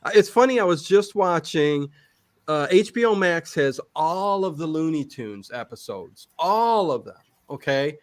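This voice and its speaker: A man's voice: fairly high pitch, lively intonation, a little slow. A middle-aged man chatting casually as an interview guest, talking emphatically and repeating words to stress his points. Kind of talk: casual chat.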